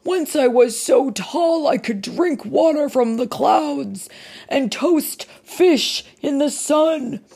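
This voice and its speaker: A woman's voice: high voice, expressive, takes it slowly, creaky, warm tone, pitched above the performer's own voice, full character voice. A woman voicing a huge, boastful male giant who whines about hunger in a storybook reading. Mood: whining, pleading. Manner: whining, then gleeful.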